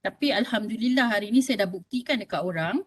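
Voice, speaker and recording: A woman's voice: medium pitch, quick pace, husky. A woman in her fifties with a Malaysian Malay accent, giving a health talk to an audience in a conversational way and often checking in with "okey?". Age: 50s. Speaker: health talk speaker, doctor.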